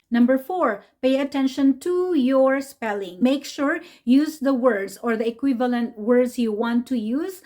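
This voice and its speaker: A woman in her forties with a Filipino accent, chatting upbeat to camera from a small home room, often adding a quick "okay?". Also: a little high, a little mellow. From Philippines. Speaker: nurse vlogger. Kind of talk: casual explainer vlog.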